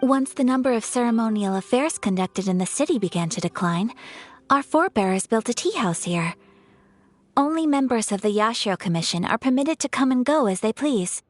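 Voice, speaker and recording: Poised, breathy young noblewoman. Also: fairly high pitch, expressive, soft background music. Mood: calm formal. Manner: formal, measured and polite. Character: young noblewoman, composed and courteous. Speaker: noble of a ceremonial commission. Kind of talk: video game voice acting.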